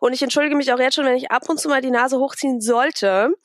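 Woman podcast host w/ a cold, kinda nasal, bit higher voice, chatty, lots of ums. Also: fast, no echo, clean studio sound. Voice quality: slightly nasal. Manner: chatty, many fillers, enthusiastic. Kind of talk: casual podcast interview.

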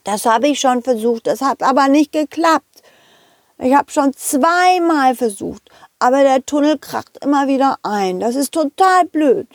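A small, shy young boy from a children's audio play, played by a woman, speaking in a childlike, hesitant way with little stammers. His voice is high and bouncy. The recording is close and dry. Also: lively intonation, unhurried, no echo.

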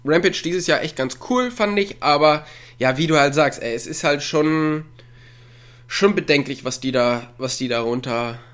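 A man's voice: on the high side, normal speed, a bit bright, clear, resonant tone. A man co-hosting a casual chat from home on a remote call. He rambles with lots of fillers and breaks into frequent chuckles. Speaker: podcast co-host. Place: at home, with a dog nearby.

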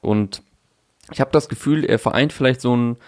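A man's voice: medium pitch, moderate pace, a little mellow, no room sound, studio quality. A young man in casual chat, talking through a music release with fillers and restarts. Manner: thoughtful, many fillers and restarts. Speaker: podcast co-host discussing music.

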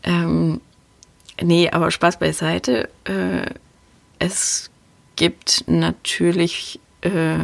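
A woman hosts a podcast in a low voice with a creaky edge. She speaks casually and thinks aloud, pausing as she forms her answers. The sound is close and dry. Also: little pitch movement, no echo. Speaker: podcast host. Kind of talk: casual podcast monologue answering listener questions.

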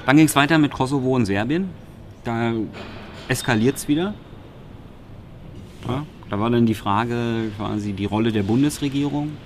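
A man's voice: medium pitch, normal speed, pauses often, a little hiss or hum. A man from Berlin co-hosting a podcast, recapping press conferences in a wry, sarcastic, rambling way. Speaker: podcast co-host.

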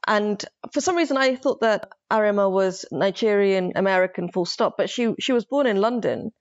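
A woman's voice: medium pitch, moderate pace. Woman, reflective, restarts mid-thought, casual talk, muffled lo-fi sound. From Somalia. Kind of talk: casual conversation. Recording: slightly dull lo-fi sound.

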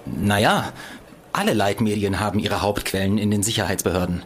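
A man's voice: lowish voice, lively intonation, fairly quick. A man playing an evasive, self-justifying newspaper journalist in a radio play, polite but defensive. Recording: faint crowd and background noise.

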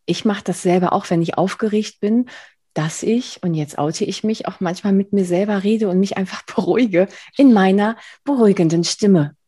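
A woman's voice: medium pitch, normal speed, husky, dry recording. Chatty woman host, dry close sound. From Spain. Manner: chatty, laughs often, warm and effusive. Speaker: podcast host. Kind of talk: casual interview chat.

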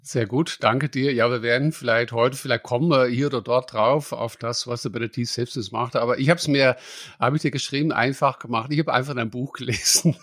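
A man's voice: mid-range voice, fairly even intonation, fairly quick, fairly bright tone, no echo, studio quality. A man hosting a podcast interview, friendly and conversational with a few hesitations. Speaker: podcast host.

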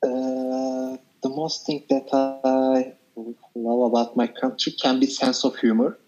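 A man's voice: mid-range voice, monotone, takes it slowly, mellow. Turkish-accented young man on a muffled call. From Turkey. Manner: hesitant, with frequent uh and light laughs. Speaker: interview guest. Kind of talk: casual video-call chat.

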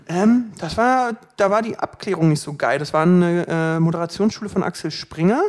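A man in his twenties from Berlin with a light Berlin accent, telling stories from his life as an interview guest, quick and joking, laughing often. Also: high-pitched. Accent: light Berlin German. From Berlin, Germany. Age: 20s. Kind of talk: casual storytelling interview.

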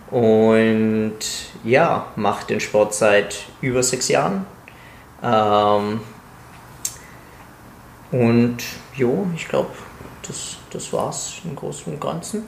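A man in his mid twenties from Italy co-hosts a casual podcast. He talks slowly, with a gravelly, creaky edge to his voice. The recording is roomy, with some echo. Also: medium pitch. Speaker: podcast co-host, calisthenics coach. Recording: roomy echo, faint background noise.

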